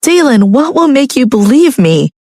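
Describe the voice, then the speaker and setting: A woman voicing a desperate young wife who pleads with her husband through tears, her voice pitched high above her narration. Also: animated, unhurried, darkish tone, dry recording, clean studio sound. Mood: distraught.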